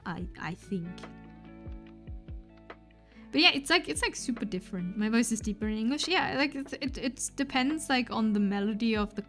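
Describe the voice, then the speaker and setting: A woman's voice: fairly high pitch, animated, moderate pace, a bit bright. A young woman whose first language is German, livestreaming and chatting casually while she draws, thinking aloud with fillers and restarts. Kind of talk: casual chat while drawing. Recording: faint lofi music underneath.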